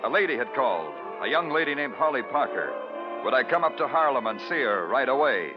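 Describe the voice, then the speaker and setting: An actor playing a world-weary American police detective, clipped and dry, in an old radio crime drama. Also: male voice, mid-range voice, sing-song, fairly quick, over music, close to an everyday voice. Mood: brooding narration. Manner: clipped, dry, hard-boiled. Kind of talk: 1950s radio crime drama acting, noir narration. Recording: dull old radio recording.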